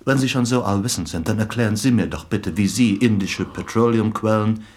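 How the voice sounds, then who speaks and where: Inquisitive low-voiced male investigator. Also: brisk, a little mellow, breathy edge, dry recording. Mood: probing. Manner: questioning, probing. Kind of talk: German radio play acting.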